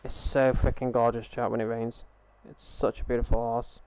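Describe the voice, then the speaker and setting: A man's voice: mid-range voice, little pitch movement, moderate pace, darkish tone, clear, resonant tone, dry recording, low-quality recording. A young man casually chatting with his live-stream audience while he plays, earnest and rambling, often repeating himself over a gaming headset at home. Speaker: game streamer.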